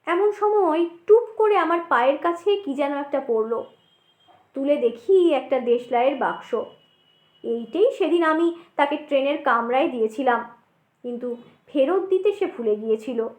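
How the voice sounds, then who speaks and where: A woman narrates a horror audiobook in a very high-pitched voice. Her delivery is dramatic and suspenseful, with deliberate pauses. The sound is noticeably echoey and roomy. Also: animated, a little mellow. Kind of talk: dramatic scripted ghost-story narration. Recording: roomy echo.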